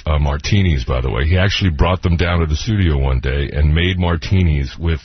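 A man in a radio studio dropping deadpan, dry interjections into the host's talk. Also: very deep voice, brisk, breathy edge, dry recording, dull audio. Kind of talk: wry aside on live radio.

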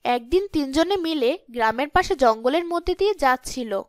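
A woman's voice: sits high, animated, normal speed, no room sound, studio quality. A woman reading scripted storytelling narration for a cartoon.

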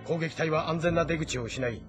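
A man playing a shrewd, composed ancient Chinese military strategist in a game drama, speaking formally and in measured explanations. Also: on the high side, over music, natural voice. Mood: grave, explaining. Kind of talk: dramatic audio-novel acting in a video game.

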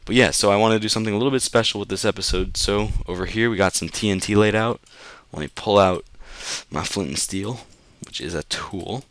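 A man's voice: on the low side, a bit bright, dry recording. A young man with a slightly sick, off-sounding voice, hosting a casual, rambling game commentary as a channel host. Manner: rambling, easygoing, self-correcting.

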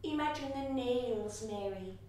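A woman's voice: fairly high pitch, unhurried, warm tone, close to an everyday voice. A woman voicing an intense nun teacher who dwells on words fervently, heard from far off in an echoey hall.

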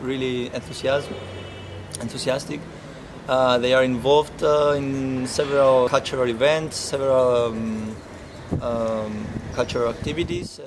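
A man's voice: mid-range voice, background noise. A man in his thirties, a language teacher, speaking in an outdoor interview and searching for words with fillers. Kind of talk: interview testimonial. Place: outdoors by a building.

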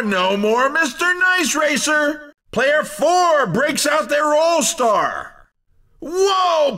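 An over-excited male video game race commentator with a very high, sharp voice. He delivers each line like a hammy showman, exclamatory and playful, yet measured in pace. The voice sounds a little distant from the mic. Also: heavily acted. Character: over-excited male cartoon racing announcer, playful and punning.

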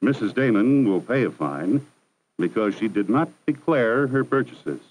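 A man narrating a 1960s educational film in a measured, formal, instructive manner. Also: medium pitch, lively intonation, darkish tone, rough recording. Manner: measured, formal, didactic delivery. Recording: 1960s archival film soundtrack.

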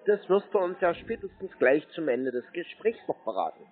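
A man's voice: on the high side, big pitch swings, fairly quick, breathy edge, faint music behind. A man, taunting and insulting the other party in a phone argument. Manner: mocking, insulting, provocative. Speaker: scambaiter prank-calling phone scammers. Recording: muffled, lo-fi call audio.

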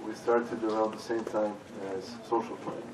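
A man from Serbia, speaking haltingly as a conference panelist. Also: fairly low pitch, monotone, a crowd in the background. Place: large conference hall with audience. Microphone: stage microphone heard from far off. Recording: echoey hall, distant, audience noise.

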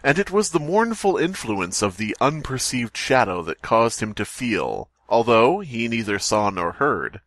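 A man's voice: medium pitch, sing-song, a little slow, hardly any pauses, a bit bright, no echo. A man hosting a comedy podcast, reading aloud and riffing with sarcastic, profane, joking commentary. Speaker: podcast host.

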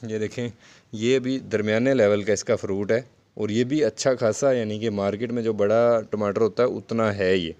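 A man's voice: lowish voice, steady tone, moderate pace, darkish tone. A man giving a casual, explanatory gardening vlog narration in a conversational, repetitive way. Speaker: home gardening video host.

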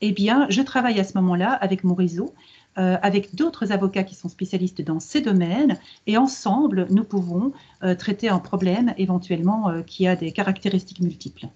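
A middle-aged woman, a lawyer, giving measured, didactic explanations as a guest on a video conference. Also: mid-range voice, mellow, breathy edge. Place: book-lined office, video call. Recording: dull video-call audio.

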